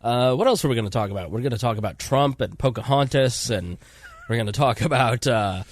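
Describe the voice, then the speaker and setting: A man's voice: mid-range voice, brisk, dry recording, studio quality. Congested man hosting a podcast. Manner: rambling, self-interrupting, chatty. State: congested from a cold. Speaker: podcast host. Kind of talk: casual podcast chat.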